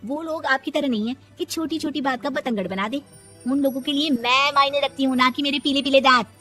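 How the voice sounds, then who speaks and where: A sharp-tongued young daughter-in-law. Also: female voice, sits high, fairly quick, faint music behind. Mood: defiant. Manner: cheeky, talks back with sarcasm. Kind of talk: cartoon dub acting.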